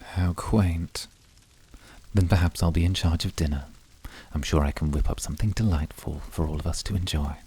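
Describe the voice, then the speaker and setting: A man playing a cool, controlled, seductive demon servant in a scripted ASMR roleplay, speaking in a calm, measured, husky voice. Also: sits low. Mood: dry, seductive. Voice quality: husky, breathy. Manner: measured, calm, formal and intimate.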